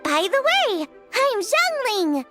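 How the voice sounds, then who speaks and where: A friendly teenage cook from a video game, chats in a youthful, very high voice. Her delivery is upbeat, casual and full of lively swings, with music underneath. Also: takes it slowly, exaggerated voice. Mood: welcoming. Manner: chatty, casual, welcoming. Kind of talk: video game character acting. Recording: music behind the voice.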